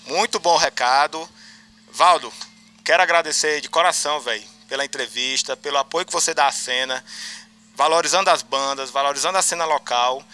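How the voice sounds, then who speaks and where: A man's voice: fairly high pitch, bright, forceful tone. A young man hosting a show, interviewing a guest in a small record shop in a friendly, informal, slangy way with a handheld mic. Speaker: show host. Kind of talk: casual interview and show wrap-up.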